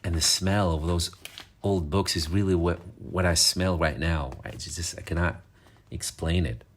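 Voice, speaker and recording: Man, from Morocco, very low voice, nostalgic, rambling, casual vlog chat. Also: moderate pace, a little hiss or hum. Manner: enthusiastic and nostalgic, rambling with fillers and restarts. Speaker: vlogger. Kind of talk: casual show-and-tell vlog.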